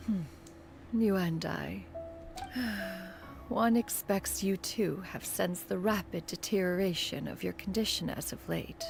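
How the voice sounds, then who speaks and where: Stately, creaky-voiced ancient immortal woman. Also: medium pitch, takes it slowly. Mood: stately, gentle. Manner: formal, stately, speaks of self as "one". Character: ancient aloof adeptus crane woman, dignified, sagely master. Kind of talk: video game voice acting. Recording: music behind the voice. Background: music.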